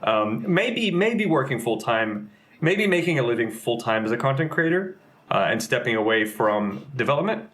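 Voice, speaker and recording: A man's voice: medium pitch, sing-song, moderate pace, a bit bright. Rambling young man, chatty guest. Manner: rambling, lots of fillers and restarts. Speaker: game community manager and streamer. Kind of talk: casual interview chat.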